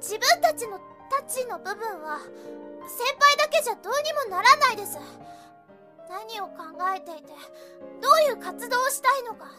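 A woman playing an earnest, scolding high school girl in an audio drama, polite but blunt and nagging. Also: lively intonation, moderate pace, pushed and bright, soft background music, full character voice. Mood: earnest, reproachful. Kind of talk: anime-like Japanese audio drama acting.

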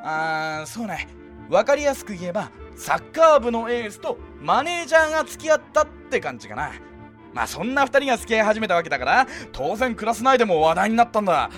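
A man playing an affable, talkative high school boy who recruits for his club in a comedy voice drama. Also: very animated, a little fast, a bit bright, soft background music. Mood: chatty, persuasive. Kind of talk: acted dialogue in a youth comedy voice drama.

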